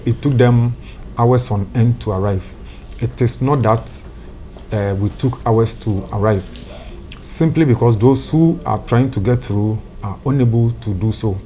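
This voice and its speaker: A middle-aged man with a Ghanaian accent, giving a measured, official interview statement as a fire service spokesman in an office. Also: mid-range voice, warm tone, a little hiss or hum. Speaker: fire service public relations director, interviewee. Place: office room indoors.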